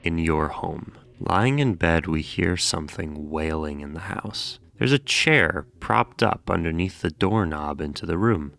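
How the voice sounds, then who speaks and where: A man's voice: sits low, measured pace, creaky, hardly any pauses, a bit bright. A man hosting a horror explainer, mixing scripted narration with casual, wry commentary and jokey, sweary asides. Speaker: host of horror series explainer videos.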